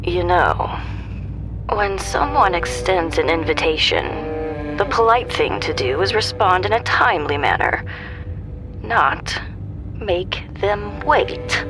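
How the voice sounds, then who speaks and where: An actress playing a taunting, menacing female villain, with drawn-out phrases that sink into breathy near-whispers in an audio drama. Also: fairly low pitch, lively intonation, over music, low-quality recording. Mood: mocking, sinister.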